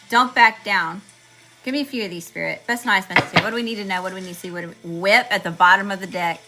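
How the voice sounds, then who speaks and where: A woman reading tarot cards for her viewers in a chatty, slangy way, calling them y'all and listing card meanings one word at a time, with her voice going hoarse. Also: on the high side. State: losing her voice. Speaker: tarot reader channeling messages. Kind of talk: casual spiritual reading to viewers.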